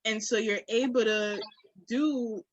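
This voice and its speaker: A woman's voice: fairly high pitch, fairly even intonation, takes it slowly, dry recording, lo-fi sound. A woman in a candid, casual conversation, speaking in an emphatic, reflective way with frequent swearing. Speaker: podcast guest or co-host.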